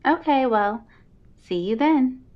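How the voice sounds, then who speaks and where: An actress playing an obliging, easygoing friend on a dull-sounding phone call in an audio drama. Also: female voice, high voice, animated, takes it slowly.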